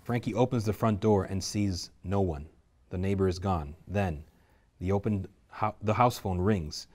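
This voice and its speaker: A man reading the stage directions aloud at a script table reading, steady and measured. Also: low voice.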